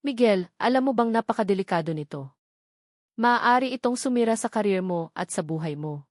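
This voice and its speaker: A woman's voice: medium pitch, lively intonation, moderate pace, no echo, clean studio sound, natural voice. A woman reading the part of a serious lawyer friend, cautioning with concern in an audio drama. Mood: grave concern. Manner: grave, concerned.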